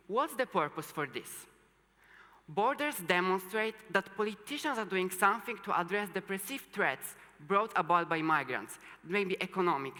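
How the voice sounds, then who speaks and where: Casting: young adult student speaker, native Polish, forceful bright voice, earnest stage talk, long hall reverb. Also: mid-range voice. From Poland, moved to Italy as a teenager. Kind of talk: rehearsed public talk. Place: large indoor hall or stage.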